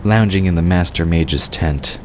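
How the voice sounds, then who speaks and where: A man's voice: sits low, measured pace, slight background noise. A man narrating a scripted fiction story as the narrator of an audio drama.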